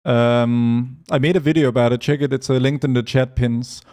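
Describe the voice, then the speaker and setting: A man from Europe streaming a game, reading chat aloud and answering it between quick asides about his play. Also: mid-range voice, fairly even intonation, a little mellow. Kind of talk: casual gaming stream chat.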